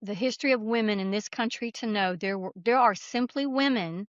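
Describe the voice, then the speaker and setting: A woman's voice: mid-range voice, brisk, dry recording, lo-fi sound. A woman speaking as a guest author in an interview, telling stories in an earnest, explanatory, conversational way. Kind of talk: conversational interview answers, storytelling.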